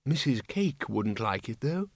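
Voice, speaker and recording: A man voicing an elderly dead wizard who speaks softly and hesitantly, musing aloud. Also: high voice, expressive, slow pace, dry recording, voice pushed up, exaggerated voice. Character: elderly dead male wizard, mild and thoughtful.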